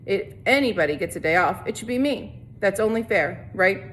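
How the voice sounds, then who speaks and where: A woman's voice: mid-range voice, animated, moderate pace, a bit bright, natural voice. A small teenage gang leader from a storybook, read out by a woman, casual and cajoling. He pushes to get his way. There is faint noise behind the voice.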